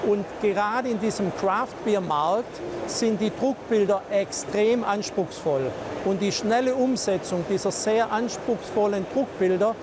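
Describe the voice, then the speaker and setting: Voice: mid-range voice, measured pace. A speaker in an interview, explaining a printing technology with enthusiasm. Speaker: printing specialist. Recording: interview with clear background noise.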